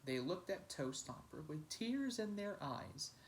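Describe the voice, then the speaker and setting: A man's voice: a little high, big pitch swings, measured pace, a little hiss or hum. A man reading a children's picture book aloud as a teacher, with dramatic, emphatic narration. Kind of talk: expressive storybook narration.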